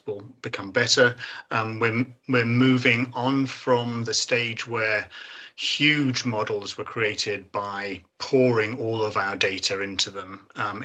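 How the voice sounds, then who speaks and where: A man speaking as an expert guest on film and technology, measured and thoughtful, with frequent pauses and filler sounds. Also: lowish voice, sharp tone. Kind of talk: expert commentary in discussion.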